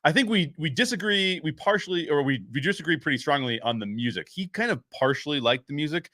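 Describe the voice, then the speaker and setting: Bright-voiced man hosting a commentary show. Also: on the high side, expressive, fast talker, dry recording, clean studio sound. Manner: emphatic, repeats phrases for stress. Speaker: show host and story writer. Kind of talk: casual solo show commentary.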